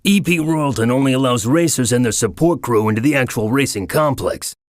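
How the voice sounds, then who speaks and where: An actor playing a wry creature guide in a video game, with a showy announcer delivery and sly asides. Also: male voice, mid-range voice, a little fast, dry recording, studio quality, lightly acted. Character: wry Funkey creature guide to a racing complex. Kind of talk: video game character voice acting.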